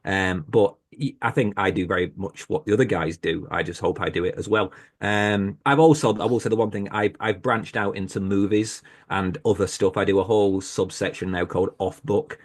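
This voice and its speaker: A British man, a horror podcast host, talking as a guest on a video-call panel in a relaxed, self-deprecating way. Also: on the low side, moderate pace, hardly any pauses. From Britain. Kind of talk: casual panel conversation.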